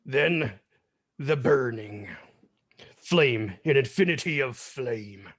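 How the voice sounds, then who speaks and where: A man playing a somber noir narrator, reading grim comic-book captions in a measured, theatrical way. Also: mid-range voice, expressive, fairly bright tone. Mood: ominous.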